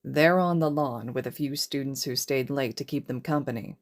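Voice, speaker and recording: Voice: medium pitch, normal speed, lightly acted. A narrator voicing a stern, matter-of-fact man, a strict teacher in an audiobook story. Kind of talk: audiobook character voice.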